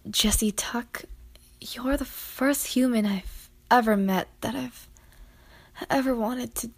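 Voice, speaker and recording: An amateur actress playing a tender, earnest teenage girl in an audio drama, breathy and often near a whisper. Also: fairly high pitch, slow pace, heavily acted. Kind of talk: fan audio drama acting.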